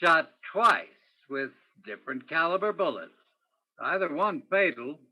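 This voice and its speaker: Man playing a terse, animated radio detective, muffled sound. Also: fairly high pitch, unhurried. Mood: blunt, probing. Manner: clipped, theatrical second-person narration and blunt questioning. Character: terse male police detective narrating his own case. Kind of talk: old-time radio crime drama acting.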